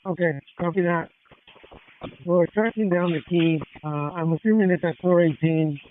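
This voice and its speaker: A man working as a police tactical officer, giving clipped, procedural radio traffic with hesitant pauses over a tinny scanner. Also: high-pitched, fairly even intonation.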